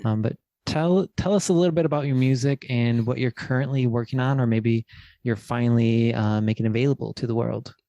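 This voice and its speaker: A man's voice: medium pitch, fairly quick, mellow, dry recording, highs cut off. A man co-hosting a podcast, asking questions in a laid-back way with many fillers. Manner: laid-back, filler-heavy, laughs easily. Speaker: podcast co-host. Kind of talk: casual interview chat.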